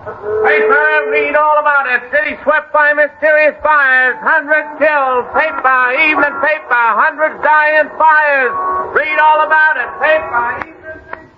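Shrill, very high-pitched newsboy. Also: male voice, fairly even intonation, normal speed. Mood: urgent. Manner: calling out in singsong shouts. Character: young street newsboy hawking papers, urgent and shrill. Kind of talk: old-time radio drama acting. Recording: noisy, muffled vintage radio recording.

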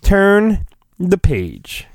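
Playful man with a higher-pitched voice. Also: huge pitch swings, very slow, dry recording, studio quality. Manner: playful, mock-dramatic. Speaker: podcast host reading a storybook. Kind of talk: dramatic storybook reading with casual asides.

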